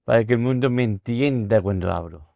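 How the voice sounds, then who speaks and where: A man on a podcast, talking casually and articulating each word carefully and deliberately. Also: medium pitch, vocal fry, warm tone, no room sound. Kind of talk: casual interview talk.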